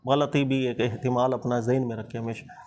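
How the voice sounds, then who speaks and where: A man's voice: medium pitch, steady tone, normal speed, darkish tone. A man with a Pakistani accent, a religious teacher explaining points emphatically in informal discussion, often repeating himself for stress. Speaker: Islamic scholar and teacher.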